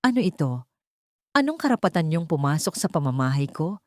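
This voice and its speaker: A blustering, treacherous husband in an audio drama, acted out by a woman. He is indignant and defiant, with big pitch swings and a dry, close sound. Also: very animated, fairly quick, darkish tone, no room sound. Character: treacherous, blustering husband caught in his crimes.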